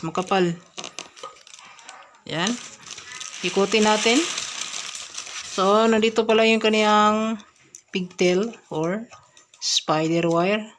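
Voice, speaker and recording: A woman with a Filipino accent giving a casual hands-on product review, speaking off the cuff in short, halting phrases. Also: lowish voice, a little hiss or hum.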